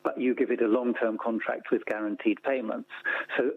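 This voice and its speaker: A man being interviewed on the radio, explaining policy in a measured way with repetition for emphasis. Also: medium pitch, fairly even intonation, husky, dry recording. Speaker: interview guest, policy campaigner. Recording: thin phone-like line.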